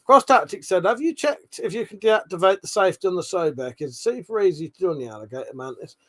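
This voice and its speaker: A man with a British accent and a voice a little on the high side hosts a casual livestream. He rambles informally, swears freely, and moves between reading comments aloud and replying to them. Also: lively intonation, fast talker. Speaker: livestream host.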